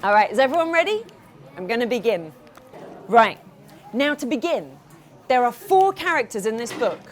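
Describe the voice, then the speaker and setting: A woman reading her storybook live to children in an animated, interactive way, pausing to address them and ask questions. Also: a little high. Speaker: children's author reading own book. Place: room with an audience of children.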